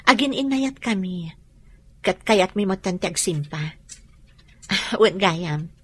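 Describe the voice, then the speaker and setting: An actress playing a happy young woman planning her wedding, with a husky, sweet voice and an Ilocano accent. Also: medium pitch, animated, slow pace. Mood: cheerful.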